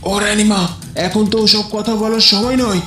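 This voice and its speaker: A man plays an old witch serving a cartoon queen. The high voice is nervous and fretful, always warning of danger. It sounds echoey, with faint music. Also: steady tone, unhurried, sharp tone. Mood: fearful warning. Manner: fearful, warning. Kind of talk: cartoon drama acting. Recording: roomy echo, faint music behind.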